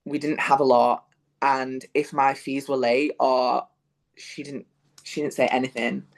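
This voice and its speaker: A man's voice: mid-range voice, little pitch movement, unhurried, vocal fry. A young man from Yorkshire with a Northern English accent, chatting as an interview guest, full of fillers and restarts. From Yorkshire, England. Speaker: guest, musical theatre performer. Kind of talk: casual interview chat.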